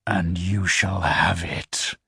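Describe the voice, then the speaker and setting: A man doing a hushed, coaxing infernal creature. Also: takes it slowly, gravelly, breathy edge, dry recording, heavily acted. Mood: soothing. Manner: low, hushed, coaxing, sometimes whispered. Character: sinister seductive infernal creature, calm and cold.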